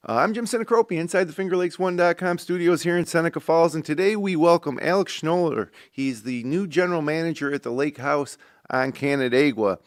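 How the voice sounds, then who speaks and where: A middle-aged man hosting a local studio talk show, relaxed and conversational with plenty of ums and uhs. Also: a little high, fairly quick, no echo. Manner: relaxed, conversational with many fillers. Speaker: host.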